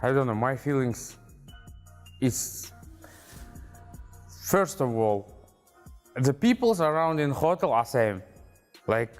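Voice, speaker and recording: A man from Kazakhstan, an esports coach answering interview questions in halting English, with many fillers. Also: mid-range voice, expressive, soft background music. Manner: halting non-native English with many fillers and back-channel mm-hmms.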